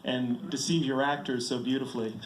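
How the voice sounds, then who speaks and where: A man's voice: mid-range voice, steady tone, a little fast. A man moderating a live audience question session in a cinema, thanking the guest and picking who speaks next. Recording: echoey, noisy screening room.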